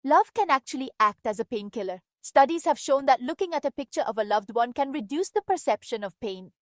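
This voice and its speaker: A woman with a slightly high voice narrates scripted facts. The sound is dry, with no room around it, in a voice-over style. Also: animated, a little fast, a bit bright, no echo.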